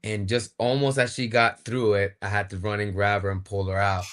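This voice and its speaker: A man with a fairly low voice speaks as a guest in a casual interview. He is plain-spoken and explanatory, telling stories conversationally. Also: flat delivery, normal speed, a bit bright.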